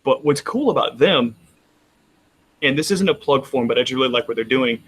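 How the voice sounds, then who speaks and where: A man's voice: mid-range voice, expressive, brisk, fairly bright tone, breathy edge. A man with a Texan accent chatting casually on a roundtable, rambling with frequent restarts. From Texas. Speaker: roundtable panelist. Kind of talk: casual chat.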